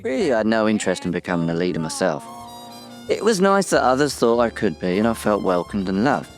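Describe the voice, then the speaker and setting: An actor playing a modest, genteel small storybook character, speaking tactfully in a mock interview. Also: male voice, on the low side, very animated, fast, faint music behind. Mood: wistful, measured. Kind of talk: mockumentary interview in audio drama.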